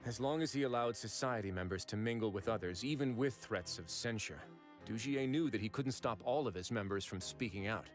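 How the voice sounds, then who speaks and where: An actor playing a composed male fortress warden in a video game, speaking in a calm, measured, authoritative way. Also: on the low side, close to an everyday voice. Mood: deliberate. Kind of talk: game cutscene voice acting. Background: faint game music.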